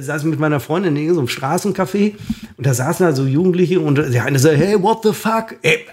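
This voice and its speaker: Rambling, ranting tipsy man. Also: high voice. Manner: ranting, hesitant with many fillers, gets worked up. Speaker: podcast co-host. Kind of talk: rambling comedic chat.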